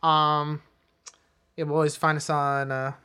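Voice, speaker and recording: Bright-voiced man hosting casual chat. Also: on the high side, flat delivery, unhurried, smooth. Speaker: film podcast host.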